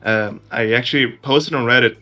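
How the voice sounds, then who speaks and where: Bright-voiced man. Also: mid-range voice, normal speed, faint music behind. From Brazil. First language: Portuguese. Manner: earnest, with restarts. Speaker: podcast co-host. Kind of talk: casual chat.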